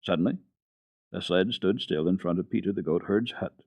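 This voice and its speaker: A man giving an animated, dramatic reading of a children's book on old radio, close to the microphone. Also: low voice, normal speed, no echo. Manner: animated, expressive narration. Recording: dull lo-fi old radio recording.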